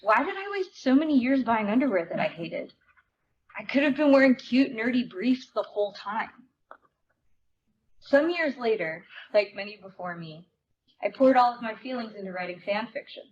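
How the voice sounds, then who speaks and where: Woman presenter and reader, higher-pitched, live event, slightly dull sound with faint noise. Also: expressive, normal speed. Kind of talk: live dramatic reading of memoir. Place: indoor event before an audience. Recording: dull live recording, noticeable room noise.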